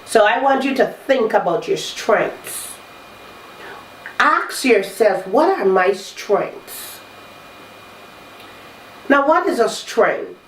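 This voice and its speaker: A woman hosting a talk show, congested from a cold, coaching listeners in a slow, measured way. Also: medium pitch, lively intonation. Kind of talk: reflective self-help talk. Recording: roomy echo, distant mic, faint noise.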